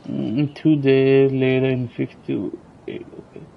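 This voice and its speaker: A man's voice: medium pitch, level tone, slow, creaky, a little hiss or hum. A man making offhand, mumbled remarks while playing a game. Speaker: gamer. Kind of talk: casual gameplay remarks. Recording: muffled, game music behind.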